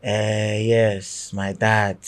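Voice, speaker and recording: A man from Nigeria with a Nigerian accent, a guest in a podcast interview who talks in a chatty, relaxed storytelling way. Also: lowish voice, very slow. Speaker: guest content creator. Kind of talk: casual conversational interview. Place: podcast studio.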